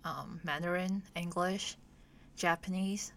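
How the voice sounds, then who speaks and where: A woman's voice: lowish voice, fairly even intonation, slow pace, slight background noise. A woman whose first language is Cantonese, chatting casually in English as a podcast host, hesitant and often dropping to a near whisper. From Hong Kong or Cantonese-speaking region. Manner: soft-spoken, hesitant, often trails into a whisper. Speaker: podcast host, guest in this chat. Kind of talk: casual chat.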